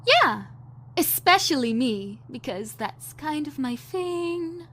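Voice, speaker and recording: Woman acting a high-voiced, vain glamour princess. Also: sing-song, slow pace, a bit bright, a little hiss or hum, exaggerated voice. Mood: self-absorbed. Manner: breezy, self-absorbed, theatrical. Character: vain, glamorous, princess-like young fashion model. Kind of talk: audio drama acting.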